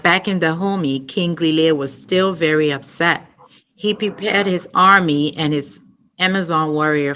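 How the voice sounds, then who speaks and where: A woman reading a story aloud at story time, slow and careful. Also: low-pitched, a bit bright. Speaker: storytime reader. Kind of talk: reading a picture book aloud.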